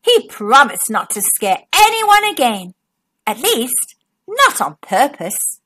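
A woman narrates a picture book for young children. Her voice is high and bright, moving up and down a great deal. She reads slowly, leaning into words with dramatic emphasis. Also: big pitch swings, slightly rough. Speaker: children's storybook narrator and channel host. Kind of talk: scripted read-aloud for young children.

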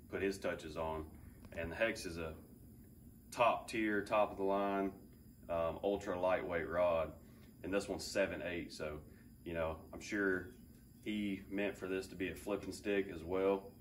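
A man in his thirties giving a relaxed, enthusiastic fishing gear review, thinking aloud with fillers in a big open garage. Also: deep voice. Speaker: fishing channel host. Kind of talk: casual product review. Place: large open garage with a boat. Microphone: camera several feet away. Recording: distant mic, faint ambient hiss.

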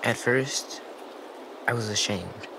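A man voicing a timid, guilt-ridden gentle young boy in a video game, hesitant and apologetic. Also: fairly low pitch, slow pace, background noise. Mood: sad, subdued. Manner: hesitant, soft-spoken, apologetic. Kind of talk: naturalistic video game dialogue acting. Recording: clear outdoor stream ambience.

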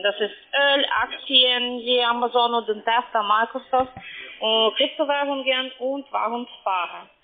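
High-voiced foreign-accented woman on the phone. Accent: foreign-accented German. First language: not German. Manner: hesitant, stumbling broken grammar. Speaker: call centre sales agent. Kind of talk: halting phone sales pitch. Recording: tinny narrow-band phone line.

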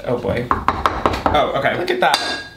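A man's voice: medium pitch, expressive, takes it slowly, over loud music. A young man, a guest on a cooking vlog, trading sassy, dramatic quips over the stove. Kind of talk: casual cooking chat. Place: home kitchen, indoors. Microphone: camera mic, medium distance. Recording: echoey kitchen with clattering dishes.

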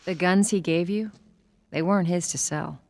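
An actress playing a tough, blunt smuggler with an American accent in a video game cutscene, curt and hard-nosed. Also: female voice, lowish voice, moderate pace, darkish tone, lightly acted. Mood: firm, demanding.

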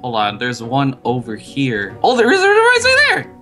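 A man's voice: medium pitch, wildly swooping pitch, measured pace, bright, forceful tone. A man bantering casually with a friend while playing a video game. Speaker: gaming co-player. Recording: music under the voice. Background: background music.

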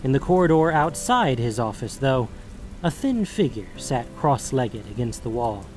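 A man's voice: medium pitch, very animated, moderate pace, darkish tone, slight background noise. A man reading scripted narration for a fantasy audio drama. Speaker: narrator and podcast host.

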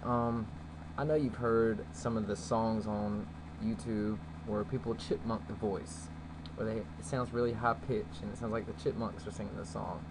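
A man giving a casual, folksy software tutorial as its host. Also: fairly low pitch, little pitch movement, moderate pace. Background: background noise.

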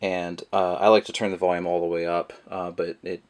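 A man's voice: sits low, fairly quick. A man giving a casual, rambling how-to demonstration of a product, full of fillers, his voice picked up by the camera mic at a table indoors. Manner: relaxed, rambling with many fillers. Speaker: product reviewer.

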